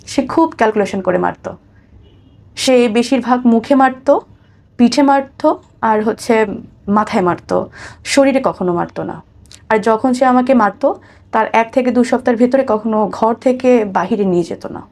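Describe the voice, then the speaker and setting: A woman's voice: a little high, normal speed, vocal fry, pauses often, darkish tone. A woman with a Bangladeshi accent giving personal testimony as an interview guest, repeating phrases and addressing the host respectfully. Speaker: guest telling her life story.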